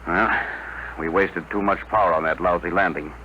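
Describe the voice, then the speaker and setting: A man's voice: low voice, steady tone, normal speed, a little hiss or hum, close to an everyday voice. Muffled old radio recording, man playing stern spaceship captain, clipped and commanding, fifties sci-fi drama. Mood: grim. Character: decisive male spaceship captain, stern and burdened. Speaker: radio actor. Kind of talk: 1950s radio drama acting.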